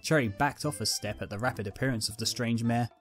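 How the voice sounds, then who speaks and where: A man's voice: mid-range voice, fast, loud music behind the voice. A man narrating a scripted fan fiction story.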